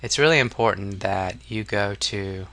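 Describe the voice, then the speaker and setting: A man narrating a casual software tutorial as an instructor, explaining step by step in an informal, somewhat hesitant way. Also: lowish voice, moderate pace, creaky.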